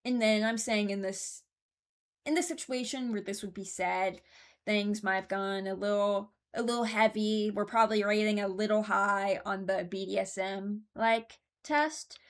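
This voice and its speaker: A woman's voice: medium pitch, fairly even intonation, measured pace. A woman giving casual, rambling commentary as a host, thinking aloud as she goes. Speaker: host ranking game voice lines.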